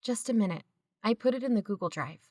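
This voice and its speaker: A woman's voice: medium pitch, expressive, quick pace, darkish tone, no echo, studio quality, lightly acted. An actor playing an earnest, shaken woman investigator in an audio drama styled as a podcast. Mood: puzzled, searching.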